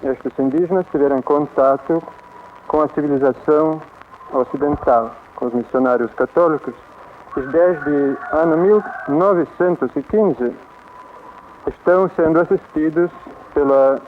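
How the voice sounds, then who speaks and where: A man giving formal, measured documentary narration on an archive recording. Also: fairly high pitch, slight background noise. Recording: tinny old archive recording with music.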